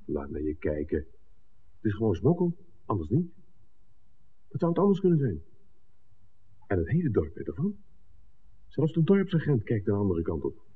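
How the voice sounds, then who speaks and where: An actor playing a shrewd, excitable filmmaker who reasons aloud emphatically in a 1960s Dutch radio drama. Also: male voice, fairly low pitch, moderate pace, long pauses, slightly rough, heavily acted. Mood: agitated, reasoning. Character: John, shrewd excitable filmmaker piecing together a smuggling plot. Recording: roomy reverb, phone-like old radio recording.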